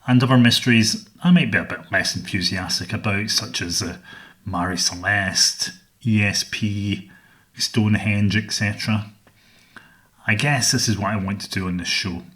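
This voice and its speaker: A middle-aged Scottish man hosting a solo podcast, speaking in a measured, earnest way about his interests. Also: on the low side, steady tone, fairly bright tone. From Scotland. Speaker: podcast host.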